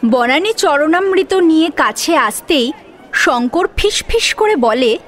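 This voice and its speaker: A woman's voice: sits high, lively intonation, slow pace, a bit bright, some chatter behind. A woman narrator reading a scripted dramatic story.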